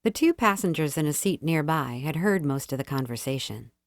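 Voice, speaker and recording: A woman with a low voice narrates literary fiction as an audiobook reader. Her delivery is scripted storytelling, and the sound is dry and close, free of room echo. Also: lively intonation, fairly quick.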